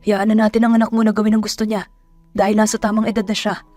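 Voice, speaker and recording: A woman voicing a mild middle-aged father in an audio drama, speaking in a calming, resigned way. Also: medium pitch, fast, soft background music, natural voice. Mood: placating. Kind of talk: Tagalog audio drama acting.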